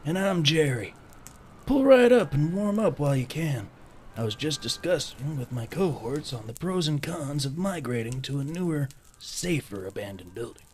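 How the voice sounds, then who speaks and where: An actor playing the friendly but cautious leader of a homeless band in an audio drama, folksy and conversational. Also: male voice, on the high side, lively intonation, moderate pace, a little hiss or hum, natural voice. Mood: welcoming.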